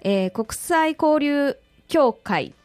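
Woman, radio co-host. Fairly high voice, polite and agreeable summarising, light music behind. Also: lively intonation, very slow, a little mellow, no room sound. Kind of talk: conversational radio talk.

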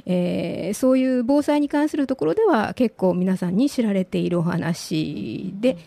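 A woman's voice: medium pitch, lively intonation, normal speed, creaky, dark tone, no room sound. A woman giving a polite, explanatory talk on the radio, recorded close in a studio. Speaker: disaster information presenter and theatre troupe member.

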